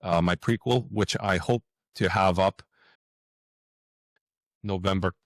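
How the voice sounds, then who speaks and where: A man's voice: sits low, takes it slowly, dry recording. A middle-aged man chatting casually as an interview guest, hesitant and often repeating his words. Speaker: fiction author, interview guest.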